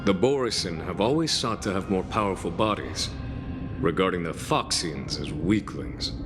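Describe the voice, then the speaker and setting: An actor playing a seasoned, battle-hardened warrior in a video game cutscene, speaking formally and solemnly. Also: male voice, deep voice, animated. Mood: measured, intrigued. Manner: formal, measured, solemn storytelling. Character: seasoned, grave male veteran warrior, long-lived and battle-hardened. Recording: long reverb, loud music behind.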